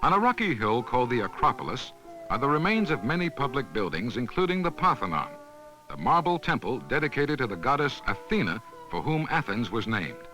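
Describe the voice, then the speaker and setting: Bright-voiced man narrating an educational film. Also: mid-range voice, sing-song, poor audio. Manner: measured, instructional. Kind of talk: scripted documentary narration. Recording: 1970s instructional film soundtrack, faint music.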